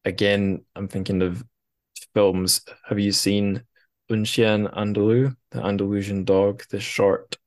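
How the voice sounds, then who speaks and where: A man's voice: fairly low pitch, flat delivery, unhurried. A man hosting a casual interview podcast, musing aloud with hesitant, tentative phrasing. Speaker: podcast host.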